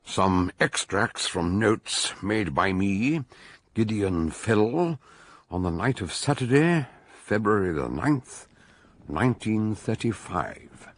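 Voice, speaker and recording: An actor playing an erudite, genially pompous doctor sleuth in a radio mystery, with a deliberate manner. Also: male voice, on the low side, very animated, no room sound, full character voice. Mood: solemn. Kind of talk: radio mystery drama acting.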